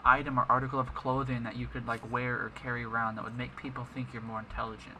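A man co-hosting a casual podcast chat, animated and quick to stumble over his words. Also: medium pitch, a little hiss or hum, low-quality recording. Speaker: podcast co-host.

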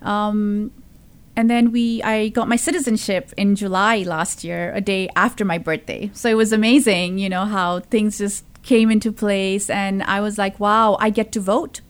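A woman's voice: mid-range voice, steady tone, normal speed, smooth. A woman in her thirties from Zambia, a podcast guest telling her story in casual talk, earnest, with many fillers and restarts. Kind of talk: casual conversation.

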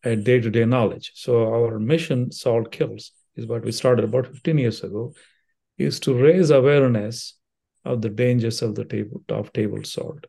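An older man from India with an Indian accent, a surgeon answering interview questions in a measured way with frequent fillers and restarts. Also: mid-range voice, fairly even intonation, vocal fry, mellow, breathy edge. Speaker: cardiothoracic surgeon, guest.

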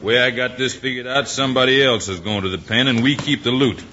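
An American actor playing a scheming outlaw gang boss in an old radio Western, with a gruff, menacing, commanding manner. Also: male voice, medium pitch, a little fast, lightly acted. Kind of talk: 1950s radio Western acting. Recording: dull, noisy old radio recording.

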